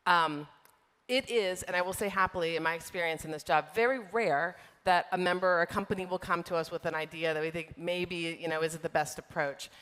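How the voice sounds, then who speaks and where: A middle-aged woman answering interview questions on a conference stage, measured, with many fillers and restarts. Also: lowish voice, a bit bright. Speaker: software trade group executive, guest. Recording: long reverb of a large hall.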